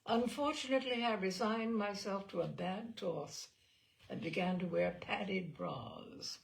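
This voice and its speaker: A woman giving an expressive, wry dramatic reading of a comic personal essay, with deliberate pauses and emphasis. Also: mid-range voice, creaky, poor audio. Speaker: reader of humorous essays.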